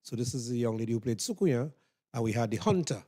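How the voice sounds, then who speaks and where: Male radio interviewer, mellow tone, live backstage chat. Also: medium pitch, animated, fast.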